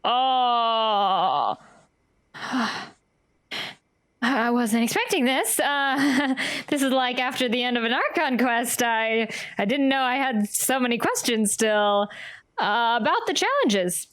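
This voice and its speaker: A woman's voice: fairly high pitch, lively intonation, slow pace, a bit bright, no echo. A woman streaming a game, reacting in casual, chatty, exclamatory commentary.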